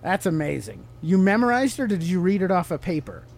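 A man's voice: high-pitched, animated, quick pace, vocal fry, darkish tone. A man with an American accent co-hosting a podcast in a casual, teacherly chat, asking questions and explaining things simply to a child. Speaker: podcast co-host.

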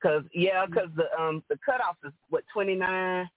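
Deep-voiced woman on a phone line. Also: normal speed, dry recording. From Rome, Georgia, USA. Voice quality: deep alto voice. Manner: chatty, laughs often, expressive. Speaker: guest singer. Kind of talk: casual interview chat.

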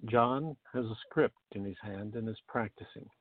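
A man's voice: lowish voice, moderate pace, no echo. A man reading scripted narration for a radio play, on muffled, narrow-band audio.

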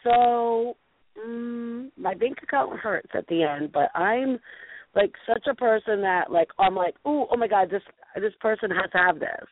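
A woman hosting a casual talk show, deep-voiced and bubbly, gushing and peppering her talk with "like". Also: animated, a little slow. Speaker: host. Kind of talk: casual chat show banter. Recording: tinny phone-line radio sound.

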